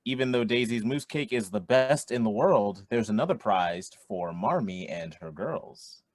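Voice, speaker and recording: An actor playing a jovial quiz show host in a radio play, with theatrical announcer delivery. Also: male voice, on the low side, lively intonation, measured pace, no room sound. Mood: congratulatory. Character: young man hosting a quiz show, jovial showman. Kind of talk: radio play acting, game show emcee patter.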